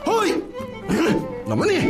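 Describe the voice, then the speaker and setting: A man's voice: extremely high pitch, wildly swooping pitch, drawn-out words, loud music behind the voice, full character voice. A man playing an earnest, exasperated young garbage collector in a Filipino radio comedy-drama, insistent and exclamatory.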